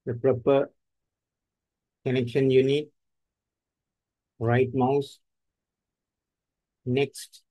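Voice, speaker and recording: A man with an Indian English accent giving terse, step-by-step instructions in a screen-recorded software tutorial. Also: medium pitch, flat delivery, drawn-out words, long pauses, no echo, poor audio.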